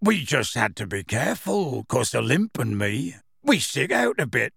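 A huge, gruff half-giant gamekeeper tells his tale. The voice is husky and rough, swinging freely in pitch, with folksy, unhurried phrasing. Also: sing-song, a bit bright, dry recording, clean studio sound, exaggerated voice. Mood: cagey. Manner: folksy, ungrammatical, unhurried storytelling. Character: huge gruff half-giant gamekeeper, rustic, warm-hearted, plain-spoken. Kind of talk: audiobook character voice.

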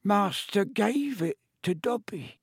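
A man voicing a small, timid male house-elf, slow and wondering in a high squeaky voice. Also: lively intonation, gravelly, breathy edge, no echo, studio quality, full character voice. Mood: awed.

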